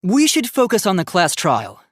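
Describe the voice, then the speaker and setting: A man's voice: high voice, wildly swooping pitch, moderate pace, a bit bright, no room sound, clean studio sound, full character voice. A man voicing an earnest, polite young robot student in a video game, with formal, precise, logical speech that gets easily flustered.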